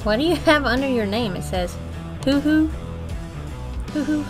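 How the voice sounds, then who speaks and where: High-voiced woman in playful gameplay chat. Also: animated, moderate pace, over music. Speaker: mother playing a video game with her children. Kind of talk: casual family gameplay chat. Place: indoor room at home.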